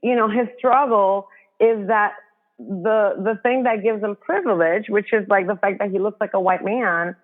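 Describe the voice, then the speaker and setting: A woman's voice: medium pitch, steady tone, moderate pace. A woman from the Dominican Republic, a guest author, talking earnestly in a casual interview and thinking aloud with many fillers. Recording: tinny phone-like line.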